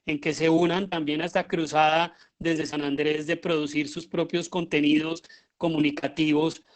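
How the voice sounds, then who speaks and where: A middle-aged man with a Colombian accent giving an informal lecture, often pausing and repeating himself as he searches for words. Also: on the high side, little pitch movement. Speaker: lecturer, radio drama director.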